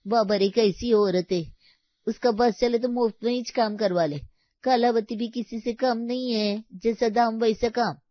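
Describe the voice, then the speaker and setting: A woman's voice: a little high, fairly even intonation, a little slow, no room sound, close to an everyday voice. Blunt housemaid played by a woman, dull dry recording. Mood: grumbling. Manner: colloquial broken grammar, haggling. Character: blunt, bargaining housemaid woman, grumbling and shrewd. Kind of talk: animated comedy story dialogue.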